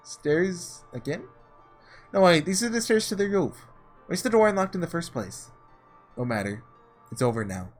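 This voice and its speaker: An animated man narrates a visual novel for a let's play, his fairly high voice swinging widely in pitch. He reads with drama and drops into casual, sarcastic commentary. Music plays behind him. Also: moderate pace, long pauses. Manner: animated, dramatic reading with offhand sarcastic asides. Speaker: gaming host reading aloud and reacting.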